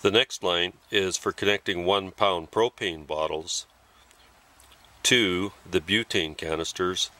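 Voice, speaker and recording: A man giving a plain, methodical how-to voice-over about refilling camping fuel canisters, pausing between phrases. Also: sits low, bright, forceful tone. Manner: plain, methodical explaining with pauses. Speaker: gear demonstrator. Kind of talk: instructional voice-over.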